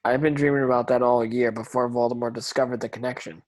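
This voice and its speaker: A troubled teenage boy wizard, read by a man with a medium-pitched voice. He speaks plainly and conversationally, distrustful and quick to challenge, as in a drama table read. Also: level tone, a little mellow, natural voice. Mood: defensive. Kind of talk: dramatic script read-through.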